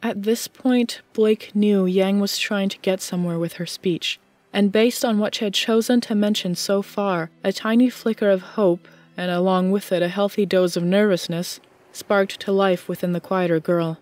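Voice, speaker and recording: A woman's voice: medium pitch, fairly even intonation, normal speed, darkish tone. A woman reading scripted fiction as the narrator of an audiobook.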